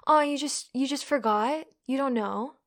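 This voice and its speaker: A woman's voice: sits high, moderate pace. A young woman playing a scornful, bullying college woman who taunts and swears constantly. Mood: mocking. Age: young adult. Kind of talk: audio roleplay.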